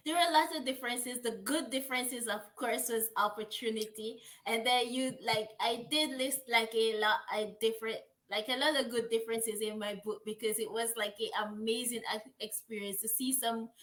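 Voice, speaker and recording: Chatty Jamaican woman, fairly high voice. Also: a bit bright. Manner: chatty, many "like" fillers, laughs while telling stories. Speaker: guest, author. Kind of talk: casual interview chat.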